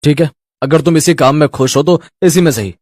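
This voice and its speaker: A man's voice: on the high side, a little fast, darkish tone, slight background noise, close to an everyday voice. A man voicing a concerned, protective husband in a cartoon story, earnest and admonishing.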